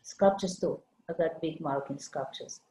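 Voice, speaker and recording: Older woman from Lithuania, low dark voice, halting delivery, roomy echo on a video call. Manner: halting, searching for words. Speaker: guest artist. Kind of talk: conversational interview.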